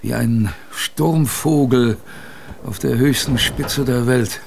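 A man's voice: medium pitch, slow pace, creaky, a little hiss or hum. An actor playing a former ship's kitchen boy who reminisces in a colloquial, confiding way as a radio drama narrator. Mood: reminiscing. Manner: colloquial, vivid, confiding storytelling.

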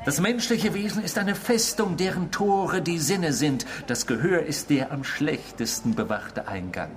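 A man's voice: on the high side, animated, measured pace, slight background noise. A male actor in a German radio drama playing an intrusive, obsessive stranger who talks insistently and theatrically. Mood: emphatic, preaching. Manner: insistent, theatrical, probing, swinging from hushed to emphatic.

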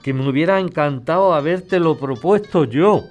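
A man's voice: a little high, moderate pace, faint music behind, natural voice. A man acting an older, old-fashioned, devoted suitor from in a podcast drama, earnest and a little hesitant. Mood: earnest, tender. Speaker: actor in an audio drama.

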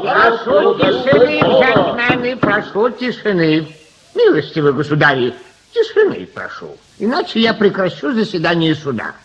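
An actor playing a flustered, courteous judge in a comic radio play, halting and pompously polite. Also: male voice, high-pitched, wildly swooping pitch, a crowd in the background, heavily acted. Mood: exasperated. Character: flustered, patient, courteous old-fashioned male judge. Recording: dull, lo-fi radio recording.

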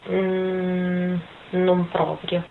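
A woman in her twenties answering interview questions casually, in a hesitant, halting way. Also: lowish voice, completely flat delivery, vocal fry. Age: 20s. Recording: phone-like, thin sound with faint noise.

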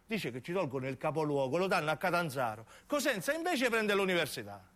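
A man's voice: a little high, sing-song, quick pace, a bit bright. A man playing an indignant townsman passing on bad political news, with a southern Italian accent, in a radio play.

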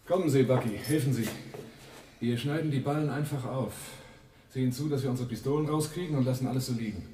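An actor in a German radio drama playing a cool-headed, pragmatic leader of a crime crew, terse, matter-of-fact and commanding. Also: male voice, mid-range voice, fast, warm tone, reverberant, distant mic, slight background noise, natural voice. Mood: businesslike, grim.

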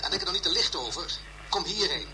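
An actor playing a fussy, precise scientist in a radio drama, pedantic and emphatic with hesitant pauses. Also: male voice, high-pitched, expressive, heavy background noise. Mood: urgent, insistent. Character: fussy, precise scientist colleague, urgent and sceptical.

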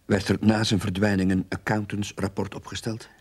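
An actor playing a reluctant, put-upon underling in a radio play, dry and pointed, who also narrates. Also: male voice, fairly low pitch, level tone, moderate pace, breathy edge. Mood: politely official. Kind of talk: radio play acting with first-person narration.

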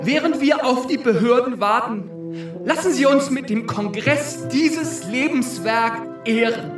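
An actor playing a solemn, overeager congress assistant, declaiming ceremoniously in a radio play. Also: male voice, very high voice. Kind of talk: theatrical radio drama oratory. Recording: roomy, distant, music underneath.

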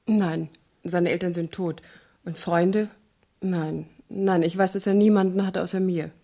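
A husky, anxious young woman. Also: fairly low pitch, steady tone, a little slow, mellow. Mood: subdued. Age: young adult. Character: anxious, guarded young woman client, politely reserved. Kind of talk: 1970s German radio crime drama acting.

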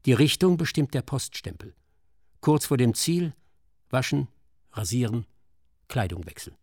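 A man's voice: mid-range voice, sing-song, measured pace, a little mellow, husky, no room sound, clean studio sound. A man narrating a scripted radio documentary drama in a sober, clipped manner. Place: dry studio.

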